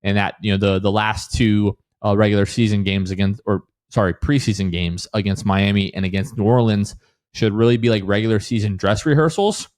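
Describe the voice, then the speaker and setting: A man's voice: on the low side, steady tone, brisk, a little mellow, dry recording, studio quality. A man co-hosting a sports podcast in a casual, conversational style.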